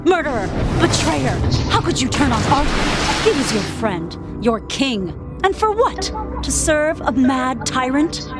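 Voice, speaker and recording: A woman's voice: high voice, very animated, measured pace, over loud music. Proud, impassioned warrior queen. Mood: furious. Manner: commanding, impassioned, formal. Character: fierce, proud widowed warrior queen of Atlantis. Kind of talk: video game cutscene acting.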